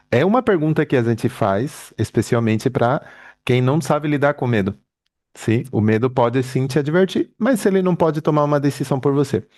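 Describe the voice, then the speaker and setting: A man's voice: medium pitch, a little mellow, dry recording. A man with an accent in Portuguese, co-hosting a live motivational talk in an earnest, explanatory way and repeating his key points. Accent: foreign accent in Portuguese.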